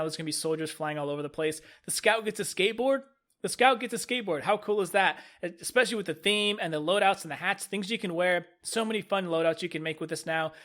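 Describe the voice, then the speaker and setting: A man's voice: high voice, fairly bright tone. A man stuffed up with a cold, casually and enthusiastically reviewing a new game update as a gaming video host. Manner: upbeat, enthusiastic, repeats "really, really". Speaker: gaming YouTuber.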